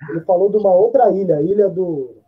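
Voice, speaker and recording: A man with a husky, high voice chats loosely on a video call, spinning a story with laid-back slang. The sound is dull and lo-fi, like a laptop mic. He talks from a room at home. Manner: relaxed storyteller, drawn-out slang asides. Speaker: podcast co-host. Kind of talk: casual chat on a video call. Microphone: laptop or earphone mic on a video call.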